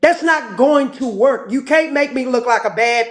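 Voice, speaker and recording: A woman with a slightly hoarse voice, speaking as a guest on a livestream and defending herself in short, repeated, broken phrases. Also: high-pitched, moderate pace. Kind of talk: livestream call-in.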